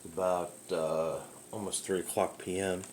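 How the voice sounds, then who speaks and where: A man with a fairly low voice talks through an old radio in his kitchen, loose and unhurried, dropping fillers as he goes. A faint hiss sits under the recording. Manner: relaxed, rambling explanations with fillers. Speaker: radio hobbyist reviewing a radio. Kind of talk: casual product walkthrough. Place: kitchen indoors at home.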